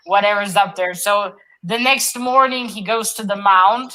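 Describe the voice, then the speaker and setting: A woman's voice: medium pitch, measured pace, sharp tone, poor audio. A woman talking casually and informally as she retells a story in a book discussion.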